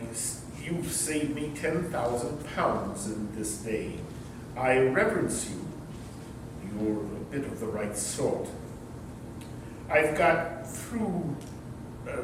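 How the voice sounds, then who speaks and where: An actor playing a pompous, stingy rich uncle in a readers theater comedy, speaking formally and hesitantly. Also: male voice, lightly acted. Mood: smug relief. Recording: echoey hall, distant mic, rumbling noise.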